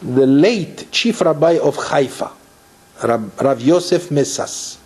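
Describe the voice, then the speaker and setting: A man from Morocco delivers a Torah lecture in a mellow, fairly high voice. He often restarts his phrases and poses questions to his listeners. He sounds middle-aged. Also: expressive, slow, husky. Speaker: rabbi.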